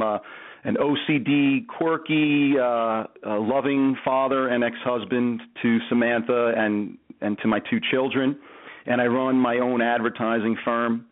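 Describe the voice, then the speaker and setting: Male comedian guest, easygoing and halting, tinny phone sound. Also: mid-range voice. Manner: relaxed, hesitant with many uh fillers. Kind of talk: casual phone interview. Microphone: phone or call line. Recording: phone line.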